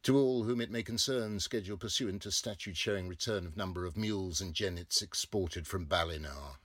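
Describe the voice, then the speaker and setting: A man's voice: low-pitched, a little fast, fairly bright tone, dry recording. A man voicing a pompous official who proclaims newspaper notices in a declamatory audiobook reading. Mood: pompous, booming.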